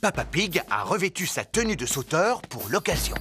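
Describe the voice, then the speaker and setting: A narrator reading scripted cartoon narration in a clear storybook manner. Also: medium pitch, sing-song, moderate pace, over music.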